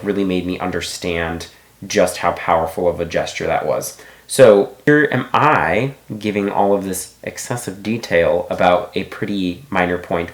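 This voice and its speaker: A man's voice: sits low, roomy sound. A man giving a casual book review, thinking aloud with many restarts and asides.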